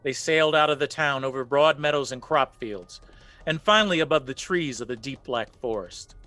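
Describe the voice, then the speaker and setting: A male storyteller voiced by an actor narrating a spooky radio play in a medium register. The delivery is measured and suspenseful, with no room sound around it. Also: mid-range voice, pushed and bright, no room sound, lightly acted. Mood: dramatic. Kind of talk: scripted dramatic narration.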